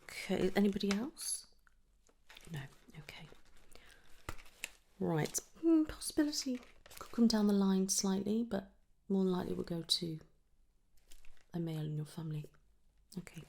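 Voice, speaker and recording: A soft-spoken woman in an ASMR medical roleplay, gently asking questions in a breathy voice that often slips towards a whisper. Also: mid-range voice, a little hiss or hum. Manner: gentle, unhurried questioning with pauses for answers. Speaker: ASMR performer playing a trichologist.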